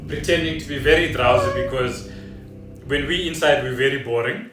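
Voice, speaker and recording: A man's voice: mid-range voice, normal speed, loud music behind the voice. A South African man chatting casually and loosely as a podcast host. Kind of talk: casual rambling chat. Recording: echoey room, distant microphone.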